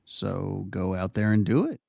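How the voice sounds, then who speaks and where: A man playing a patient therapist in an audio drama, measured and reflective, gently questioning. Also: deep voice, lively intonation, gravelly, breathy edge, no room sound, clean studio sound, full character voice. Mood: calm, probing. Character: calm, probing male therapist, patient doctor.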